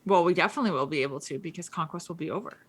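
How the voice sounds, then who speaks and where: A woman co-hosting a casual podcast, chatting quickly with lots of fillers and restarts. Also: lowish voice, husky. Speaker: co-host. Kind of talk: casual gaming podcast chat.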